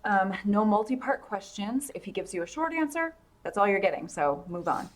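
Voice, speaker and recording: Audio drama: actress as businesslike female staffer, brisk, direct, average pitch. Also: sing-song, natural voice. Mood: brisk, instructive. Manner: brisk, frank, in control. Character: self-assured, businesslike female government aide. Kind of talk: full-cast audio drama acting.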